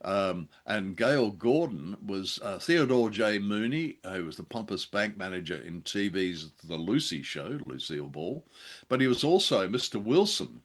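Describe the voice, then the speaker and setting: A man with a low-pitched voice co-hosts a casual review discussion. His delivery is conversational and somewhat hesitant, with a jokey streak as he trades thoughts with a fellow host. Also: animated, a little slow. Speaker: podcast co-host. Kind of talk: casual film and radio discussion.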